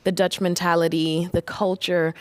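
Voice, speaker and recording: Lower-pitched woman, earnest and emphatic. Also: level tone, normal speed, smooth, no room sound. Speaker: international alumna panel guest. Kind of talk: conversational panel talk. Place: studio for a live stream.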